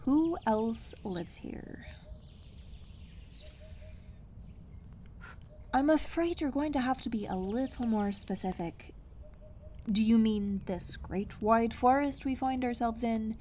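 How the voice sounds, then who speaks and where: A woman playing a rugged, wry forest hunter in a fantasy audio roleplay, speaking in a dry, sardonic manner with hesitant pauses. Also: fairly high pitch, mellow, slight background noise, natural voice.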